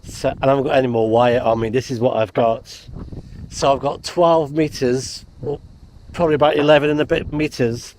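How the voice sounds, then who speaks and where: A man's voice: medium pitch, steady tone, fairly quick, a little mellow. A British man chatting casually to viewers as a vlogger, in a hesitant, rambling, unscripted way. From United Kingdom. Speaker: amateur radio vlogger. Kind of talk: casual vlog chat. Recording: background noise, car and outdoor ambience.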